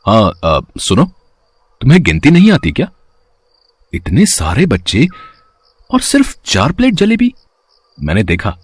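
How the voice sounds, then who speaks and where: A man voicing a kind-hearted, protective gentleman in a cartoon story, speaking in an emphatic, fatherly way. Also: mid-range voice, breathy edge, no room sound. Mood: caring, brisk. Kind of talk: acted cartoon story dialogue.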